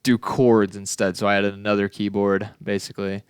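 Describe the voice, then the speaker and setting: A young adult man giving a casual software demonstration as a student, informal, offhand and a little tentative. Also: on the low side, flat delivery, moderate pace, clean tone, no room sound, clean studio sound. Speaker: student presenting a final project.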